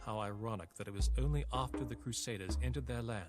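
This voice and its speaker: An actor playing a weary, reflective captured crusader knight, narrating a game story in a solemn, measured way. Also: male voice, mid-range voice, close to an everyday voice. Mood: grave, reflective. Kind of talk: scripted narration. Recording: game cutscene with music underneath. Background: music.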